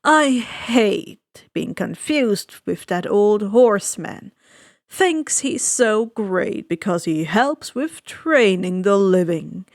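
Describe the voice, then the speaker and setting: A woman reading a story aloud and voicing a suave, sinister male ferryman of the dead with a smooth, slow, drawn-out delivery. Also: mid-range voice, dry recording, studio quality. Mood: silkily amused. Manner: slow, drawn-out, dryly polite.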